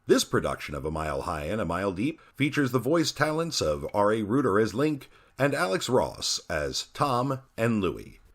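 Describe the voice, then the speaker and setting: A man's voice: mid-range voice, no echo, studio quality. A man reading scripted credits as a podcast announcer.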